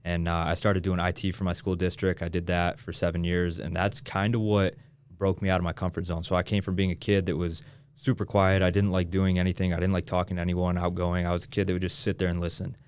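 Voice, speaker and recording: A man's voice: sits low, little pitch movement, fast, darkish tone, clean tone. A young man from Michigan, a real estate agent, chatting casually as a podcast guest and swearing now and then. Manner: laid-back, swears casually. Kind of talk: casual interview chat.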